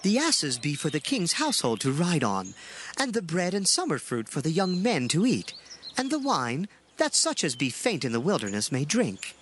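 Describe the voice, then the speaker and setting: A man's voice: fairly high pitch, wildly swooping pitch, moderate pace, fairly bright tone, a little hiss or hum. A man playing a humble servant, deferential and ingratiating to his king, in a dramatized scripture reading. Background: faint music.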